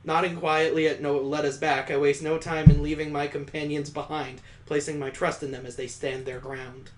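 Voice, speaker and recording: A man's voice: fairly high pitch, little pitch movement, moderate pace. A man hosting a let's play, reading visual novel narration aloud dramatically and breaking off for amused, incredulous asides. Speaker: gaming channel host doing a let's play.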